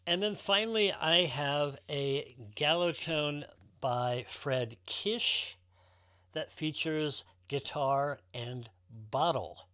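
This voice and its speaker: A man's voice: a little high, slow, bright, forceful tone, dry recording, studio quality. A man talking casually and enthusiastically to viewers as a collector presenting his show.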